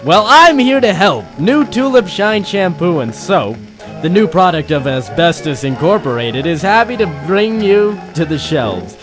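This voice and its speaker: An actor playing a cheery, slick pitchman in a parody old-time radio commercial. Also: sing-song, unhurried. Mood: salesmanlike. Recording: music under the voice.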